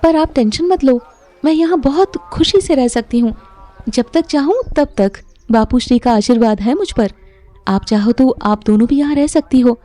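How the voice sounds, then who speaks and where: Woman playing young devoted mother, high pitch, soft mellow tone, earnest, respectful, audio drama. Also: normal speed, close to an everyday voice. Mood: earnest, reassuring. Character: sweet, contented young unwed mother, trusting and devoted. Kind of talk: Hindi audio drama acting.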